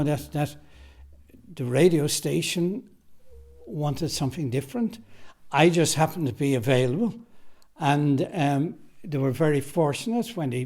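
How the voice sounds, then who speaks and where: A man's voice: a little high, gravelly, a little mellow. An Irish man who writes and directs radio drama, answering interview questions casually and emphatically, often repeating his phrases, in a recording studio.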